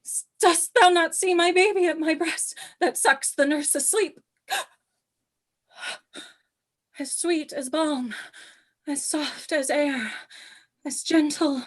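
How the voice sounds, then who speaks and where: A woman playing a proud, doomed queen in a radio play, speaking Shakespearean verse in a stately, declamatory way. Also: high voice. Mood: rapturous, fading. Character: proud, regal doomed queen, tender and grandiose.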